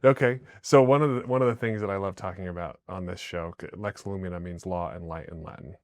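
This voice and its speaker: Low-voiced male podcast host. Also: quick pace, dry recording. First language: Romanian. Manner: warm, affirming, with many short agreements. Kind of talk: casual interview talk.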